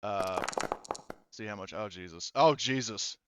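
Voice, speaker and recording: A man acting as game master of a casual tabletop roleplaying game, haltingly thinking aloud as he goes. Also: fairly low pitch, lo-fi sound, noisy background.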